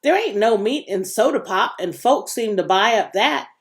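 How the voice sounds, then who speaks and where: A woman's voice: mid-range voice, animated, normal speed, close to an everyday voice. A woman voicing a cocky, folksy boy who likes to tease, in a children's storybook. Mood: enthusiastic.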